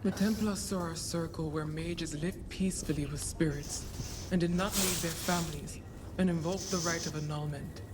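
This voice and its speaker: A woman's voice: low-pitched, fairly even intonation, normal speed, noisy background, natural voice. An actress playing a grave, resolute woman mage who fled persecution, in dramatic video game dialogue. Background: game music.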